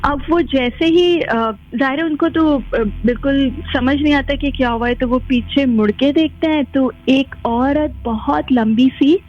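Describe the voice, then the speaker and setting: A woman calling a radio show by phone, telling a story casually and mixing in English words. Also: high-pitched. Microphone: phone call. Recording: dull lo-fi phone-in line.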